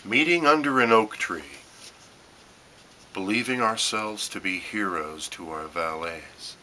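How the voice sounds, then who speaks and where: A man reading his own poetry as dramatic spoken word, in deliberate short phrases with pauses and emphatic stresses. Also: on the low side, bright, forceful tone, slight background noise, poor audio. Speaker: poet reading own work.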